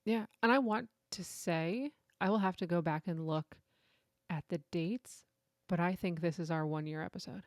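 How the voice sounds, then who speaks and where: A woman co-hosting a casual book chat podcast, chatty and quick to laugh. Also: lowish voice, dry recording, studio quality. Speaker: podcast co-host.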